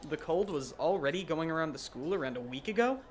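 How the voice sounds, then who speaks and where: A man's voice: fairly high pitch, animated, quick pace, a little hiss or hum, lightly acted. A man playing a sardonic, bewildered teenage schoolboy who narrates an anime fandub with dry incredulity. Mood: puzzled. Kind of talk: anime fandub, inner monologue and dialogue.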